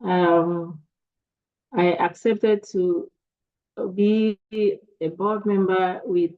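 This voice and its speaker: A woman who is the board secretary gives a formal acceptance speech over a call, in a measured way. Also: fairly low pitch, steady tone. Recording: dull lo-fi call audio.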